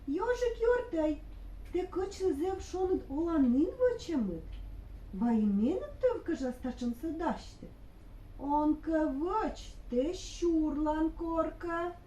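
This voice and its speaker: A woman's voice: very high-pitched, animated, slow, dark tone, exaggerated voice. A woman voicing the forest animals in a puppet show, pleading and drawing out her calls. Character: forest animals, hare, fox and wolf, wheedling for houses. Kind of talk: puppet theatre acting. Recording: echoey room.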